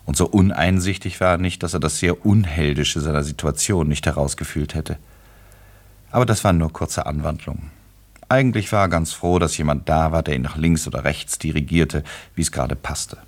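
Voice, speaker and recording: A man narrating a literary radio play as a composed, dryly ironic storyteller, with a husky voice in measured delivery. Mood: composed, wry. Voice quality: very deep, husky. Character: wry, composed male storyteller of a literary novel.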